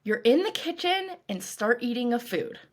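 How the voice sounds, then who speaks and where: A woman coach leading a guided meditation in a calm, reassuring, instructive way, with deliberate phrasing. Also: on the high side. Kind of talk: guided meditation, scripted narration.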